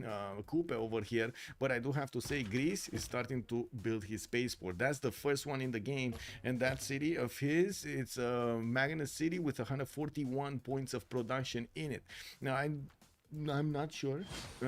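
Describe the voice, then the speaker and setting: A man's voice: medium pitch, slight background noise. A man casting a strategy game tournament live, chatting casually with viewers and breaking the play-by-play with lots of fillers. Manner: casual, filler-heavy, talks to viewers by name. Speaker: tournament caster and streamer. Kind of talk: live game commentary while reading chat.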